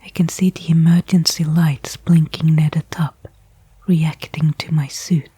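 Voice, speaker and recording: A woman playing a weary but hopeful stranded space worker in a whispered ASMR sci-fi roleplay, speaking in hushed, reflective narration with pauses. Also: deep voice, flat delivery, breathy edge, dry recording, full character voice.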